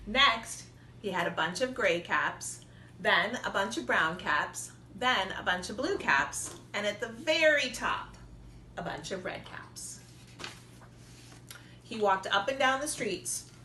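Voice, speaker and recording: A woman preschool teacher reading a storybook aloud to young children, expressive and deliberate in her emphasis. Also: mid-range voice. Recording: echoey room with faint noise.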